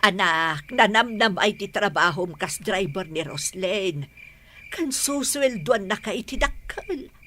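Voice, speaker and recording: A woman's voice: medium pitch, fairly bright tone, a little hiss or hum. An actress with an Ilocano accent playing a nagging, money-minded older mother in a radio drama, by turns pleading and scolding.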